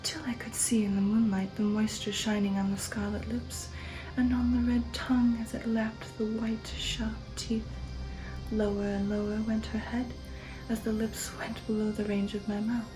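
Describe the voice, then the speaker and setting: A woman reading an audiobook in ASMR style, in a soft breathy whisper, slowly and with dramatic deliberation. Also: a little high, flat delivery, poor audio. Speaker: ASMR host and narrator. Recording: music under the voice. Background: music.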